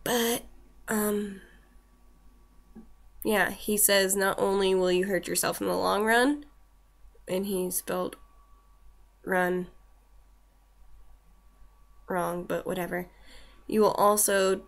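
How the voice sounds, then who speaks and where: A woman's voice: lowish voice, creaky. A woman in her twenties chatting to her webcam in a small room, reading from a list with wry asides while a little snuffly from a cold. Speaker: vlogger. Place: small room indoors with shelves. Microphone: built-in webcam mic.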